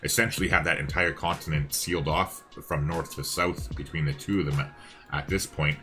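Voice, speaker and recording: Deep, bright-voiced man casting a game. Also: fairly even intonation, a little fast, faint music behind. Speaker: esports-style co-caster and streamer. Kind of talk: casual live game commentary.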